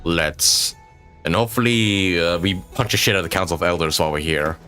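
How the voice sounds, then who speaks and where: A man's voice: sits low, a little fast, bright, forceful tone, soft background music. A man giving casual live reaction commentary on a video game, joking and sarcastic, with some swearing.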